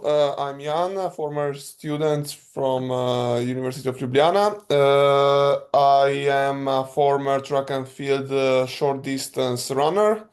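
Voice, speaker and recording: A man's voice: medium pitch, darkish tone. A young man, a football referee, introducing himself and stumbling over repeated words.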